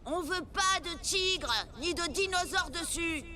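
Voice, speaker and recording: A woman voicing a territorial, bossy young bear in an animated children's cartoon. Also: very high voice, unhurried. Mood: menacing, bossy. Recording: music behind the voice.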